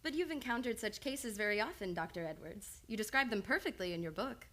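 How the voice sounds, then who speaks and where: A woman playing a young, earnest psychiatrist with formal, measured speech in an old-time radio play. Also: mid-range voice, animated, fairly bright tone, close to an everyday voice. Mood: calm, clinical. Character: young, reserved, earnest female psychiatrist, precise and clinical.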